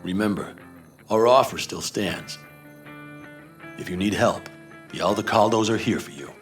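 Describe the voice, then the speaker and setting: An actor playing a steady, protective nomad man in a video game, plainspoken and reassuring. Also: fairly low pitch, a little slow, faint music behind, lightly acted. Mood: solemn. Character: steady, kindly male nomad veteran, protective. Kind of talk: naturalistic video game acting.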